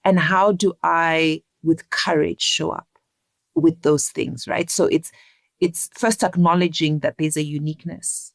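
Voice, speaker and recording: Low-voiced woman, reflective and earnest. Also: steady tone, a little slow, gravelly, no echo. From Zimbabwe. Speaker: interview guest, leadership coach. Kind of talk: reflective conversational interview.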